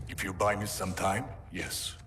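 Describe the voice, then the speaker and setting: An actor playing a gruff, dry-humored veteran mercenary in a video game, speaking tersely and in a hushed way. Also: male voice, deep voice, flat delivery, a little slow. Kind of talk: video game cutscene dialogue.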